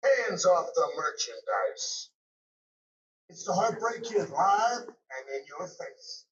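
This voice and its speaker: A man's voice: high-pitched, very animated, takes it slowly, far from the mic, heavily acted. A man playing a cocky, aggressive pro wrestler, throwing out boastful, menacing one-line taunts for a video game. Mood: boastful, smug. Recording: muffled, echoey, noisy game audio rip.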